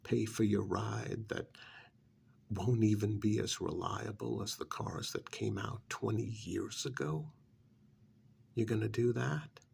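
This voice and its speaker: Slow, gravelly, sardonic vampire recruiter played by a man. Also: on the low side, darkish tone, slight background noise, full character voice. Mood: sardonic, needling. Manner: sardonic, rhetorical, drawn-out pauses and prodding questions. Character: cynical male vampire's recruiter, sardonic and persuasive. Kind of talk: ASMR role-play monologue.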